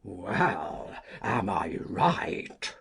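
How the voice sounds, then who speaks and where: Grumpy pompous old man in an audiobook, croaky, slow and drawn out. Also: rumbling low, very animated, voice pushed down, exaggerated voice. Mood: smug. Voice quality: gravelly, husky, breathy. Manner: pompous, emphatic, deliberate. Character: cantankerous, self-important old gentleman with a grievance. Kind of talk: audiobook character reading.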